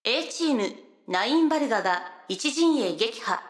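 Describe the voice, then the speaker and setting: A woman's voice: fairly high pitch, animated, normal speed, reverberant, faint music behind, close to an everyday voice. A woman voicing a game system announcer with formal delivery in a voice drama. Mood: impassive.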